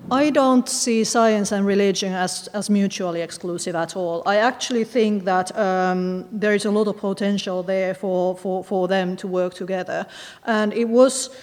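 A woman author from Finland answering audience questions, speaking thoughtfully with frequent pauses and fillers. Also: mid-range voice, steady tone, darkish tone, big-room sound. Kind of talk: discussing her book with an audience.

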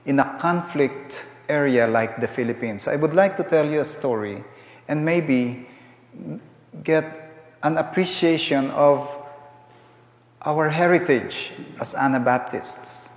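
Slow-talking older man preaching in an echoey hall. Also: on the high side. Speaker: guest preacher. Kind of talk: sermon with storytelling. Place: church sanctuary.

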